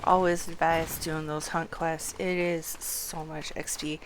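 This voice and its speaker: A woman game streamer giving a casual live walkthrough, low-key and half murmuring to herself as she plays. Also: level tone, unhurried, noisy background.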